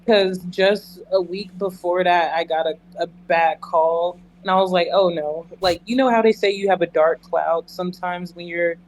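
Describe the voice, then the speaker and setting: Male interview guest, high-pitched, candid and emphatic, conversational delivery over audible background hum. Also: fairly even intonation, moderate pace, few pauses, low-quality recording. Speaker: interview guest, emergency dispatcher. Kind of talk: earnest conversational interview answers.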